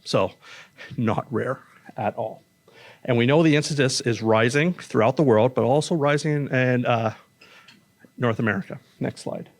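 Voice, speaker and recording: A man's voice: medium pitch, a little slow, pauses often. A man, an oncologist, giving a casual, plain-spoken public talk with slides in a conference room, speaking into a stage microphone. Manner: casual, plain-spoken, says he has a loud voice.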